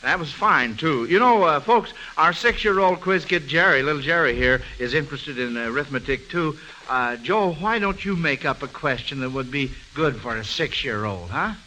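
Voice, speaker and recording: Bright, sharp-voiced male radio quiz host. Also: a little high, expressive, moderate pace. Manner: avuncular, encouraging toward children. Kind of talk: 1940s radio quiz hosting. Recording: noisy old radio broadcast recording.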